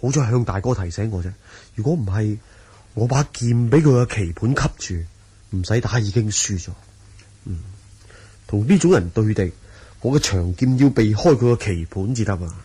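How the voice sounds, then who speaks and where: A man's voice: fairly low pitch, animated, normal speed, dark tone, slightly rough. An actor with a Hong Kong Cantonese accent playing a humble, alert young swordsman in radio drama style. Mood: wary. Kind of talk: 1980 radio drama acting. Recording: noisy old radio drama recording.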